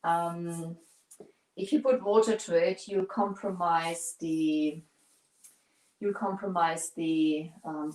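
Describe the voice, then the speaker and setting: A woman from Germany with a German accent, English not her first language, hosting a casual live painting stream, pausing to search for words and greeting viewers. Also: low-pitched, darkish tone, echoey room, rough recording. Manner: searches for words, restarts often, chats to viewers. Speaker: painting livestream host.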